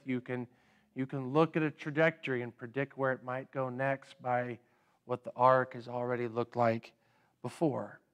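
A man in his thirties delivers a sermon in a church sanctuary at a slow, deliberate pace, his voice smooth and clear. He often repeats a word before moving on, and gestures as he stands to preach. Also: medium pitch. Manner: unhurried, with frequent word repeats and pauses. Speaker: pastor.

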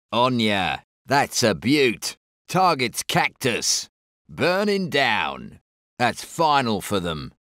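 A man's voice: a little high, bright, forceful tone, no room sound, clean studio sound, heavily acted. An actor playing a cheeky, brash Australian infantryman in a video game, full of slangy talk. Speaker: infantry soldier unit voice. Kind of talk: video game unit barks.

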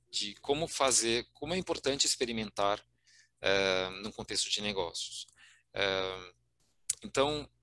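Bright-voiced Brazilian man presenting slides. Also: on the low side. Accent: Brazilian Portuguese. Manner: hesitant, many fillers and restarts. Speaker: guest speaker. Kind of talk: presenting slides in a virtual meetup. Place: home room on video call.

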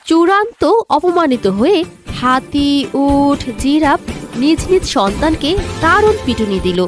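High, lively woman storyteller. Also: measured pace, faint music behind. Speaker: story narrator. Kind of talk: scripted children's story narration.

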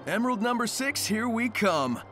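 A cocky blue hedgehog hero. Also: male voice, very high-pitched, expressive, moderate pace. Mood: breezy. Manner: casual, breezy slang. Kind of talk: video game cutscene dialogue. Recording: game audio with music underneath.

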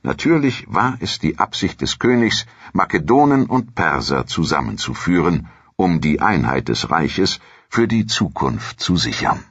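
Very deep, husky male narrator. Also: sing-song, a little slow, few pauses, a bit bright, no echo. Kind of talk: scripted documentary audiobook narration. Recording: muffled, dull lo-fi audio.

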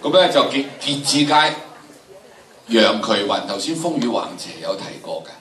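A man's voice: mid-range voice, expressive, moderate pace, fairly bright tone. An elderly man with a Hong Kong Cantonese accent giving an informal lecture to students, telling history like a story with chatty asides. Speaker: lecturer at a history drama workshop. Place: large indoor lecture hall. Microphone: handheld microphone heard through the room from camera. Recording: roomy, distant, faintly noisy lecture-hall recording.

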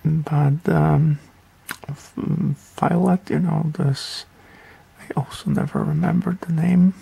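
A man's voice: fairly high pitch, steady tone, slow, creaky, dark tone, slightly rough, low-quality recording. A man giving a casual game tutorial in a rambling, offhand, hesitant way. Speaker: gaming video creator.

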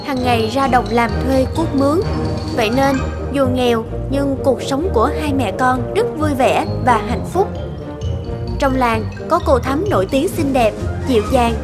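A woman narrating a cartoon folk tale in a gentle, scripted storytelling manner. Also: sits high, a little slow. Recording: music bed behind the voice.

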